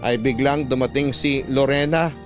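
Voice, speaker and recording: A man playing an earnest, humble narrator recounting his youth in measured, scripted Filipino radio drama style. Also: medium pitch, steady tone, over music, close to an everyday voice. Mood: reflective, wistful.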